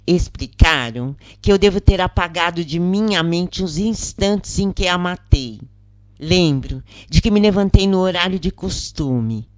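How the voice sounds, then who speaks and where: A troubled, questioning woman prisoner , read by a female narrator, speaks with a Brazilian accent. Her delivery is earnest, hesitant and slow, in a dry audiobook recording. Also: low-pitched, no room sound.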